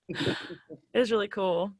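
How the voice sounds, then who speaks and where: A woman's voice: mid-range voice, extremely slow. A woman chatting casually, peppering her speech with "like". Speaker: actor in a play reading. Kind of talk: casual chat.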